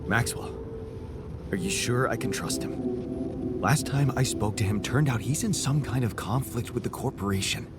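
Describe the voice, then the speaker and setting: A man acting in a video game cutscene as a weary, guarded space base leader, speaking in a measured, grave, subdued way. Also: medium pitch, natural voice. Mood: suspicious. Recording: music playing behind the voice.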